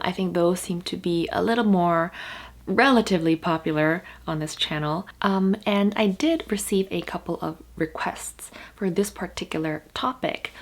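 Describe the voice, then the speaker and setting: Woman teaching pronunciation to camera. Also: lowish voice. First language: Korean. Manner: explains patiently, with many fillers and restarts; draws out vowel sounds as demonstrations. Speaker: Korean language teacher. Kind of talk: casual pronunciation lesson to camera.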